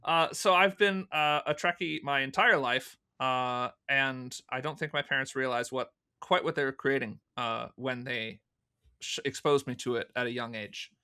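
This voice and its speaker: A man's voice: mid-range voice, fairly even intonation, normal speed, sharp tone, clear, resonant tone. A man speaking casually and conversationally as a guest answering questions in an interview. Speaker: podcast guest, fan audio drama producer.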